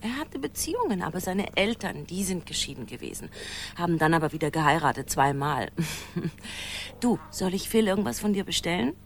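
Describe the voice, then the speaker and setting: A woman's voice: lowish voice, big pitch swings, moderate pace, a little hiss or hum. An actress playing a breezy woman chatting with a friend, in a naturalistic radio drama. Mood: amused, confiding. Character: breezy woman confiding in her friend while packing for a trip.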